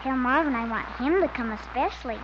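A woman's voice: high voice, brisk, close to an everyday voice. A woman playing a small, plaintive young child in a 1950s radio comedy. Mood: polite, sweet. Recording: muffled, noisy old radio recording.

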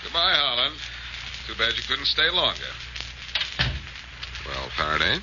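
An actor playing a suave, wisecracking detective hero with wry, teasing banter and an American accent, in 1940s radio drama style. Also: male voice, medium pitch, huge pitch swings, a little slow. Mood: wry, taunting. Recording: muffled, noisy old radio recording.

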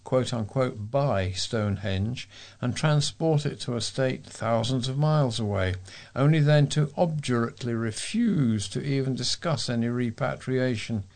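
Guy reading out letters for a local talking newspaper, middling pitch, measured, trips on the odd word. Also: vocal fry, a little mellow. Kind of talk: reading readers' letters aloud.

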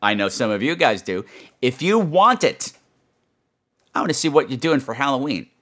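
A man's voice: medium pitch, big pitch swings, normal speed, a bit bright. A man hosting a horror podcast, chatting to his listeners in a campy, playful, self-mocking and emphatic way. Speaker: podcast host.

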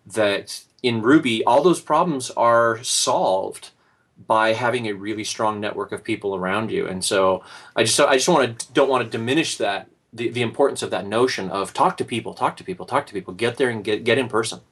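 A man's voice: fairly low pitch, moderate pace, a bit bright, slightly rough. A man talking casually on a panel, emphatic and repeating his points for weight. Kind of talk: casual podcast panel talk.

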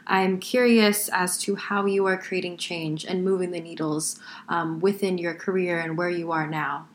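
A woman's voice: on the low side, fairly even intonation, moderate pace, slight background noise. A woman hosting a casual podcast interview, asking questions and affirming her guest with short encouraging replies. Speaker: podcast host.

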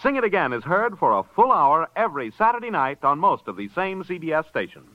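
A man's voice: on the high side, big pitch swings, fairly quick. A man announcing in the style of 1950s network radio, delivering a show promo. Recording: dull vintage radio recording, music behind.

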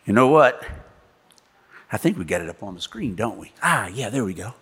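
A man preaching a sermon as pastor and missionary, telling stories with animated delivery and acting out the people in them. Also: lowish voice, moderate pace, husky. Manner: animated storytelling preacher, acts out voices in quotes. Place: church. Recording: long reverb of a church hall.

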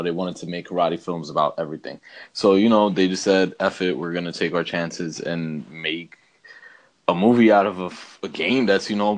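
Low-voiced man in casual slangy chat. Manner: slangy, says bro and man often. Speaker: podcast co-host. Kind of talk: casual opinionated movie chat.

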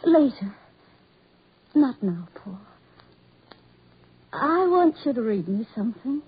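Slow, breathy, earnest woman, old radio. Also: on the high side, sing-song, exaggerated voice. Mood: eager. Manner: tender, earnest, slow theatrical delivery. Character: gentle, adoring, trusting blind young woman. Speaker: radio actress. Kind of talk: 1940s radio drama acting. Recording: muffled 1940s radio recording.

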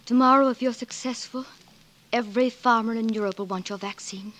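An actress playing a devoted, reassuring wife with tender, earnest theatrical delivery in a 1940s radio drama. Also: female voice, on the high side, fairly even intonation, a little fast, a bit bright, natural voice. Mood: concerned. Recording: old radio broadcast, crowd and sheep behind.

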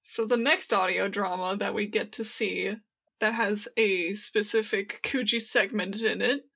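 A woman's voice: medium pitch, bright, forceful tone. A woman co-hosting a podcast, retelling things in slangy casual chat and acting out quoted lines. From United States. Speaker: podcast co-host.

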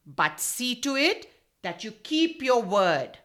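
A woman's voice: on the high side, very animated, slow pace, fairly bright tone, heavily acted. A woman voicing a clever, bold male goat in a children's story, boasting and giving orders. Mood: commanding.

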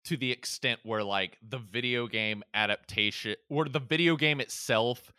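A man's voice: medium pitch, normal speed, sharp tone, smooth, dry recording, clean studio sound. A man in a casual podcast conversation about films, musing aloud in a tentative, conversational way. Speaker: podcast speaker. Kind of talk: casual film discussion.

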